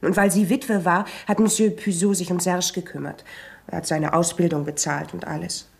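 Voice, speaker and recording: An actress playing a talkative, defensive young housekeeper questioned by a police inspector in a 1970s radio play. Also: female voice, on the low side, steady tone, normal speed, vocal fry. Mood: chatty. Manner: talkative, defensive, easily startled. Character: young housekeeper, chatty and nervous.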